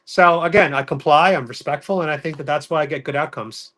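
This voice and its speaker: Male podcast guest from New York, bright and forward tone, level matter-of-fact delivery. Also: a little high, brisk. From New York City. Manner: matter-of-fact, orderly storytelling. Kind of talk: casual podcast interview.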